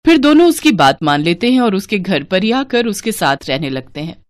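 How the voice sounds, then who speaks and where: A woman's voice: medium pitch, big pitch swings, moderate pace, no room sound, studio quality. A woman storyteller reading a scripted narration of a moral tale.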